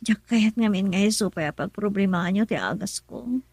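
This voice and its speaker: A woman's voice: mid-range voice, moderate pace, a little mellow, slightly rough, no room sound. A woman playing a young adult daughter who questions her mother and sounds weary from illness in a radio drama. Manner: questioning, then faint and tired.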